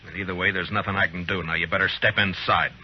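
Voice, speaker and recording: An actor playing a stern, dutiful frontier marshal in an old radio drama, terse and grave, on a muffled old recording. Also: male voice, low voice, steady tone, quick pace, a little hiss or hum, lightly acted. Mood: grave, loyal. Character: stern, dutiful frontier marshal, grown man, troubled but firm. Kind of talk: old-time radio acting.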